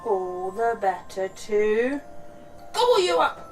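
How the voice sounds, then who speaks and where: A woman's voice: medium pitch, animated, unhurried, distant mic, faint music behind, close to an everyday voice. A woman voicing a sly, hungry, menacing male wolf in a children's storybook, with a growling delivery. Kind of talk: children's bedtime storybook reading.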